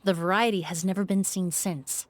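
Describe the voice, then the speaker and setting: An actress playing a refined young woman perfumer in a video game, polite, measured and composed. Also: lowish voice, fairly even intonation, background noise, close to an everyday voice. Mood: matter-of-fact.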